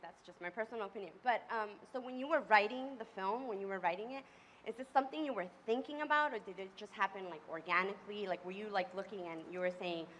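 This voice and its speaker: Eager, bright young-sounding woman asking. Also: medium pitch, fast talker, a little hiss or hum, low-quality recording. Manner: eager, chatty, lots of 'like'. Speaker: audience member asking a question. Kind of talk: audience question. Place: audience in a large room.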